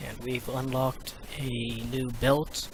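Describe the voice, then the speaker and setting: A man's voice: medium pitch, flat delivery, takes it slowly. A man hosting a gaming video with casual commentary. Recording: loud game noise behind commentary. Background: video game ambience and vehicle sounds.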